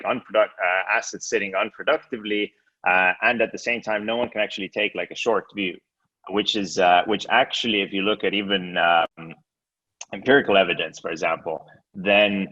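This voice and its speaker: A man from Estonia talks in a very low voice, stopping and restarting his sentences and filling the gaps with "uh" and "um". The sound is dull and lo-fi, and the pitch stays deep throughout. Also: bright, forceful tone. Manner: hesitant, many fillers and restarts. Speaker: guest, exchange co-founder. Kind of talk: casual interview.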